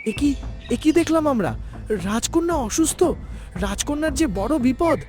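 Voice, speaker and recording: A man's voice: very high voice, animated, normal speed. A man voicing an earnest, humble young hero in a dramatic cartoon dub. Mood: eager, alarmed.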